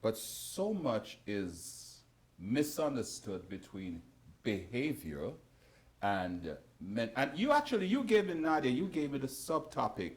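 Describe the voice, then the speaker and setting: A man's voice: medium pitch, a little slow. A man hosting a talk show, putting questions to his guests and jumping in to push back. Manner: animated, jumps in with questions.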